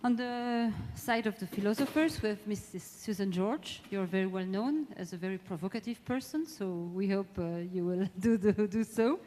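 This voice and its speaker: A woman moderates a conference debate in English with a Belgian French accent. Her voice is soft-edged and mellow, and she often searches for her words. The sound carries the long reverberation of a big hall. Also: medium pitch, slight background noise. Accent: French-speaking Belgian. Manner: halting, searching for words in non-native English. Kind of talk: live panel introduction at a conference. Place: large indoor conference hall, amplified.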